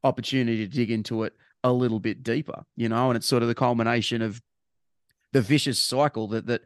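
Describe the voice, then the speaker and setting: A man's voice: medium pitch, steady tone, quick pace, smooth, no room sound, clean studio sound. A man with an Australian accent chatting casually as a podcast co-host, rambling and restarting his phrases, with bursts of emphasis. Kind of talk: casual sports chat.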